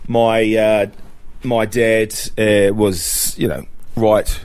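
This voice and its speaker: A middle-aged man from New Zealand, a guest sharing his personal testimony in a relaxed, reflective way, with fillers and restarts. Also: lowish voice, extremely slow, clean tone, no echo.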